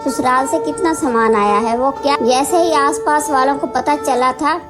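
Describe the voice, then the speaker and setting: A woman voicing a sharp-tongued, sulky young wife in a Hindi cartoon story, snappy and dramatic. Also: high-pitched, moderate pace, close to an everyday voice. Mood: explaining. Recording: loud music bed behind voice.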